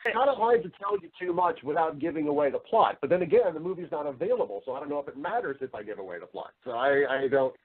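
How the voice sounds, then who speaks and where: A man's voice: on the high side, expressive, quick pace, hardly any pauses, narrow, tinny audio. A man speaking as an interview guest, casual and wry, often restarting and rephrasing his sentences with self-deprecating humour. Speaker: interview guest, voice-over artist and actor.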